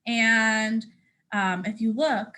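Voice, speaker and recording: A woman teacher reading aloud to young children, explaining things simply in an animated way. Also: on the high side, very slow. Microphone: speaking to a hand-adjusted camera.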